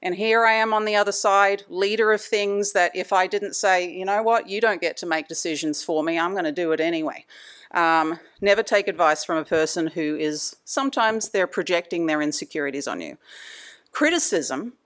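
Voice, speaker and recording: A woman's voice: on the low side, normal speed, fairly bright tone, clear, resonant tone. A middle-aged woman from Australia with an Australian accent, giving a chatty presentation lecture and laughing between points. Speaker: anesthesia department leader giving a talk. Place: conference room.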